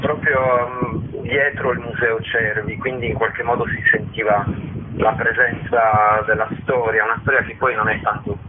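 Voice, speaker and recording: A man giving a spontaneous interview as a theatre guest, speaking haltingly and emphatically, repeating words for stress. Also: on the low side, flat delivery. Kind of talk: spontaneous radio interview by phone. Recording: tinny phone line with clear noise.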